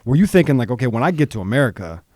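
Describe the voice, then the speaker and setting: A man's voice: medium pitch, sing-song, very fast, a little mellow, no echo, studio quality. A man hosting a daily interview show, asking curious, rambling questions full of restarts. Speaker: show host.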